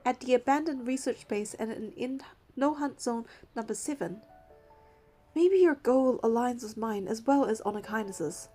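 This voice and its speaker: A woman's voice: a little high, moderate pace, mellow, soft background music, lightly acted. An actress playing an earnest, determined young woman hunter in a scripted game, voicing her dialogue and first-person narration.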